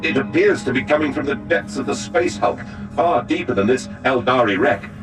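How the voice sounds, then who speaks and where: A man's voice: mid-range voice, animated, moderate pace, natural voice. A man playing an earnest, dutiful space marine in a video game, giving grave and formal reports. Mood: alert. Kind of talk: video game dramatic acting. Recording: distant, over clear background music.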